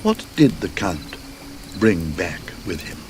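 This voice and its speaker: Man playing an inquisitive genteel English gentleman. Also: sits low, big pitch swings, slow pace, slight background noise, pitched below the performer's own voice, heavily acted. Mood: eagerly curious. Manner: polite, musing, probing.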